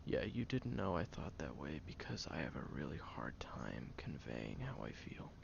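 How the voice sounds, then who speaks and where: Man acting a prickly tsundere guy, very low voice, heavy fry, halting, stern but caring, intimate roleplay, muffled recording. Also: very animated, a little hiss or hum, exaggerated voice. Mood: vulnerable. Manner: halting, stern yet caring, often dropping to a hush. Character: guarded, prickly tsundere young man nursing a sick friend. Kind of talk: intimate audio roleplay to the listener.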